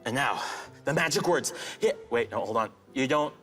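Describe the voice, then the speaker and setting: Animated, chatty young magician. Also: male voice, medium pitch, normal speed, soft background music. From Taiwan. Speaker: magician contestant. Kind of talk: playful patter while performing a trick.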